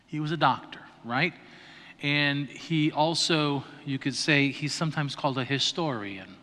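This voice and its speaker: Priest guy teaching a class, bright voice, lots of hall reverb. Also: on the high side, a little slow. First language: not Greek. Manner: explains patiently, checks in with "right?" and "okay?". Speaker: priest teaching adult catechism. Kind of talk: conversational lecture to a class. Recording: reverberant hall on a livestream mic.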